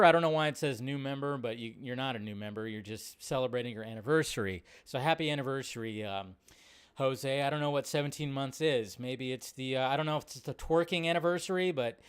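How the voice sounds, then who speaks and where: A man's voice: mid-range voice, brisk, clear, resonant tone. A man hosting a live show, chatting casually and directly to viewers in a rambling, colloquial way. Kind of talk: casual livestream chat.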